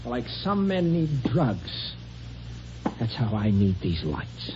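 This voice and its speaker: An actor playing a frightened, desperate young man in a 1950s radio drama, pleading in tense, halting bursts, on a dull old broadcast recording. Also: medium pitch, sing-song, over music. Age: young adult. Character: panicky, desperate young man at his rope's end.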